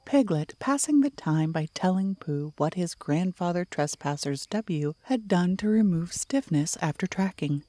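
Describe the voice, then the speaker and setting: Woman, children's audiobook narration, somewhat low pitch, dark mellow tone, dry close sound. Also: expressive, unhurried, hardly any pauses, no room sound.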